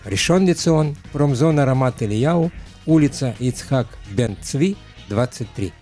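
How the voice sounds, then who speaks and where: A man's voice: medium pitch, expressive, unhurried, dark tone. A man co-hosting on radio, announcing and reading sponsor messages. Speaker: radio co-host. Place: radio studio. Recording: music playing behind.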